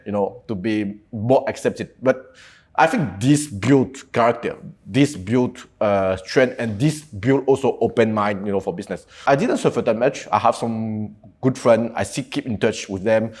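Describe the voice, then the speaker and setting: A man's voice: medium pitch, darkish tone. A man in his thirties with a French accent, a native Cantonese and French speaker, talking casually as an interview guest. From French Guiana. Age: 30s. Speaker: podcast guest, entrepreneur.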